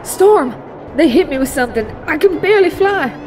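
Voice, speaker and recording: A woman acts as a young Southern US mutant heroine in an audio drama. Her voice sits on the high side and slightly away from the mic. She is folksy and defiant, guarded and quick to flare. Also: expressive, far from the mic, over music. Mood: urgent.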